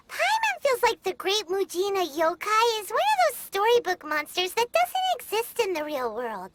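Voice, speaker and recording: A woman's voice: very high-pitched, heavy background noise, full character voice. A woman voicing a tiny, chirpy female fairy companion who talks about herself in the third person, in game voice acting.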